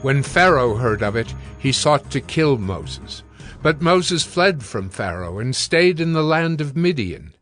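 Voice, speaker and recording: A man narrates scripture in a scripted audiobook style. His voice is medium in pitch, with soft music underneath. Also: big pitch swings, unhurried.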